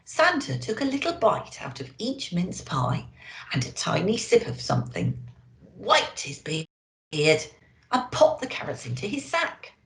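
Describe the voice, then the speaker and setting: Woman storyteller, children's book reading, unhurried, very animated intonation, dramatic emphasis, echoey room. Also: mid-range voice. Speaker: storyteller in character as a Christmas elf.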